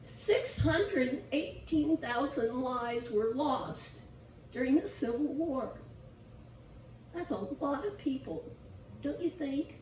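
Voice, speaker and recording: Woman lay preacher, squeaky and raspy, self-deprecating, slow delivery, distant echoey church recording with faint hiss. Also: a little mellow. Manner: chatty, self-deprecating, asks the congregation questions. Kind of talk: informal sermon. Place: church sanctuary.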